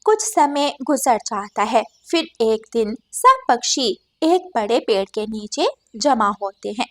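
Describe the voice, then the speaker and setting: A woman's voice: on the high side, sing-song, takes it slowly. A woman reading scripted storytelling narration for a cartoon as its narrator.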